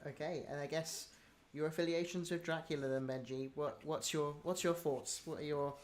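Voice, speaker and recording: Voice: medium pitch, normal speed, a little hiss or hum. A host reading out audience questions and chatting casually with guests, often laughing. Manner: laughing, lively retelling. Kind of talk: casual chat.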